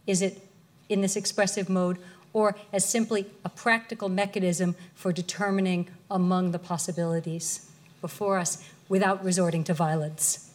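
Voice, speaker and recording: A woman lecturer answering audience questions in an articulate, measured way. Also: fairly low pitch, level tone.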